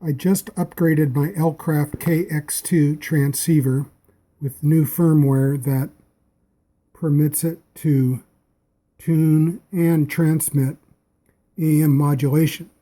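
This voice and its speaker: Slow-talking man with a very muffled, dark voice. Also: on the high side, little pitch movement. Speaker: amateur radio operator demonstrating receivers. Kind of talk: casual product demonstration. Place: small indoor radio room.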